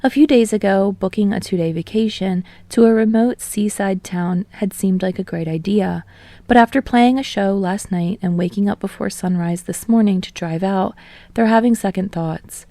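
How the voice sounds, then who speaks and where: A woman's voice: mid-range voice, normal speed, mellow, dry recording. A woman reading scripted fiction as an audiobook narrator.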